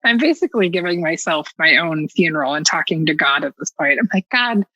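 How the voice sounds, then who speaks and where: A woman telling a personal story as a podcast guest in an animated, casual, self-mockingly dramatic way. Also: deep voice, a little fast, no echo, dull audio.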